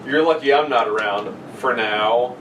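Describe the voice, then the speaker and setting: A man's voice: medium pitch, a little slow. A man joking crudely and sarcastically as he chats and reads out an internet horror story on a podcast. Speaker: podcast co-host. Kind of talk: casual joking chat and mock reading of an internet horror story. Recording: roomy, noisy, distant microphone.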